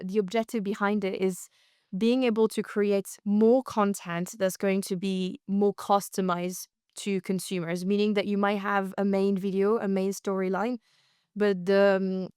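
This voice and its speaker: A woman's voice: medium pitch, darkish tone, smooth, dry recording, studio quality. A woman co-hosting a podcast, discussing industry news conversationally and often rephrasing as she explains.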